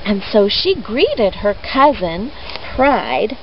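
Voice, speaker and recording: A woman telling a children's story expressively, leaning on key words with deliberate, dramatic emphasis. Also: medium pitch, big pitch swings. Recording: dull lo-fi audio with clear background noise.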